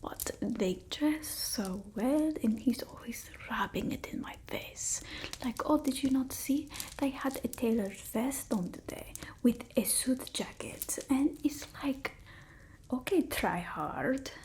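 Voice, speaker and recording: A woman voicing a haughty, fussy vampire mistress in a breathy ASMR roleplay, with an imperious, complaining manner. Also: high voice, animated, rough recording. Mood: haughty, gossipy. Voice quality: soft, breathy whisper. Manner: slow, imperious, fussy complaining with drawn-out words. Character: haughty, fussy female vampire mistress scolding her human familiar. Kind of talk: ASMR roleplay, mostly whispered.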